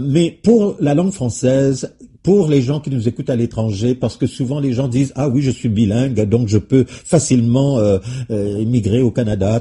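A man's voice: medium pitch, dark tone, husky. A man hosting a radio interview, reading out questions and asking long, rambling follow-ups. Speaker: radio host.

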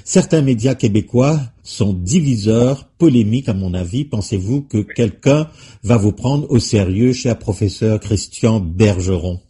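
A man's voice: lowish voice, unhurried, warm tone, husky. A man hosting a radio interview, reading out questions and asking long, rambling follow-ups. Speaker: radio host.

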